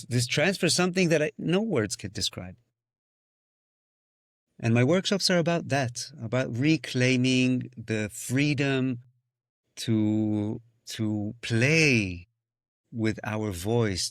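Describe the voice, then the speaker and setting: A man's voice: medium pitch, no room sound. A man whose first language is Hebrew speaks as a guest musician on a podcast. His mid-range voice is thoughtful and searching, often restarting phrases and breaking into sung syllables. The recording is dry and close. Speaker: musician and singing-circle facilitator. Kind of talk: reflective podcast conversation.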